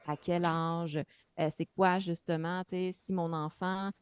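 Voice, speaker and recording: Low-voiced woman practitioner. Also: flat delivery, unhurried, clear, resonant tone. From Quebec. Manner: explanatory, many fillers. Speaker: mental health practitioner on a panel. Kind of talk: webinar talk.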